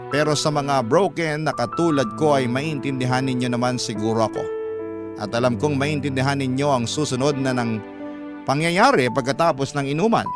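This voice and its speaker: A man with a Filipino accent narrating a first-person story in radio drama style. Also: mid-range voice. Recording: music under the narration.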